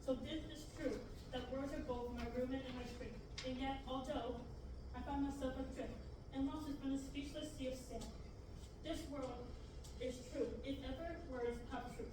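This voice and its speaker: A woman's voice: high voice, fairly even intonation, moderate pace, lightly acted. A young woman reading the part of a fussy, anxious male robot at a table reading, dramatic and stumbling over the verse lines. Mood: scolding. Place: large classroom with many seated readers. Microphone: far camera. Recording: echoey room, far-off mic, background noise.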